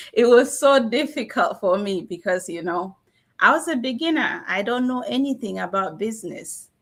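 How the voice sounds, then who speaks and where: A middle-aged woman from South Sudan, a guest telling her story in an earnest, animated way over a video call. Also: mid-range voice. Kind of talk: testimonial interview.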